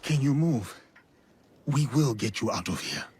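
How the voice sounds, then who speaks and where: An actor playing a stern, principled samurai warrior in a video game, speaking in a measured, firm, husky voice. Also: male voice, mid-range voice, very animated, darkish tone, a little hiss or hum. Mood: stern, determined. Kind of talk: dramatic video game acting.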